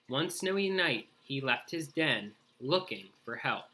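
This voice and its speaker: Male storybook narrator: expressive read-aloud, crisp bright tone, animated pitch. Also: unhurried, rough recording. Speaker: story reader.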